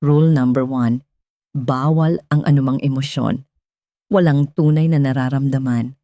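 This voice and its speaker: A woman's voice: very deep voice, moderate pace, dry recording, clean studio sound. Woman voicing a cold, commanding billionaire boss. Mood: cold, businesslike. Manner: commanding, businesslike, deadpan.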